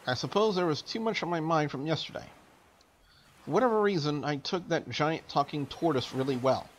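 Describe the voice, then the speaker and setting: Higher-voiced man hosting a let's play. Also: fairly quick, a little hiss or hum. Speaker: gaming channel host. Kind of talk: let's play, reading a visual novel aloud with casual asides.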